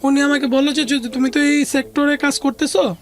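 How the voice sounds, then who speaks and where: A woman's voice: high-pitched, steady tone, a little fast. A woman with a Bangladeshi accent recounting her life story conversationally as an interview guest, mixing in English words. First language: Bengali.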